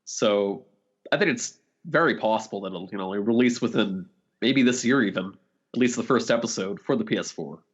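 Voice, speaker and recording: A man's voice: fairly low pitch, moderate pace, husky. A man co-hosting a podcast, discussing video games in a casual, conversational way. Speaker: podcast co-host. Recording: slightly dull, lo-fi audio.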